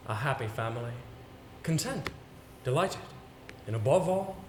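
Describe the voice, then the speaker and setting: A man's voice: mid-range voice, lively intonation, lightly acted. A man on a theater stage playing an imperious, exacting acting coach who declaims pompously with grand flair. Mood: soft, evocative. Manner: pompous, declamatory, deliberate diction. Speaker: stage actor in an amateur two-man play. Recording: very echoey theater, distant mic, noisy.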